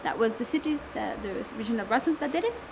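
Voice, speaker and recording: A woman in her twenties from Lebanon and France, speaking in a slightly high voice. She answers interview questions casually, stopping often with fillers and restarts. Clear background noise runs under her speech. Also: expressive, fast talker. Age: 20s. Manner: hesitant, many fillers and restarts. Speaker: food waste network founder. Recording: noisy background.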